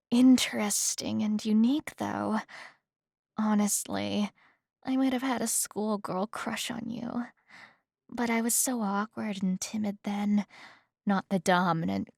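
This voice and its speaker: Slow-talking, flirty female police detective, acted by a woman. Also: mid-range voice, vocal fry, darkish tone, no room sound, studio quality. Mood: flirty, excited. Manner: slow, teasing, coy delivery with pauses. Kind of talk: ASMR roleplay, spoken directly to the listener.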